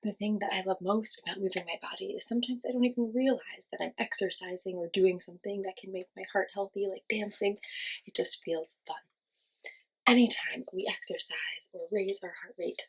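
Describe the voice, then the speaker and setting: Husky woman leading a children's class. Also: medium pitch, poor audio. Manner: simple instructional phrasing with questions and pauses for answers; encouraging, thanking the children. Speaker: children's class teacher and host. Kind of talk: children's lesson with guided movement.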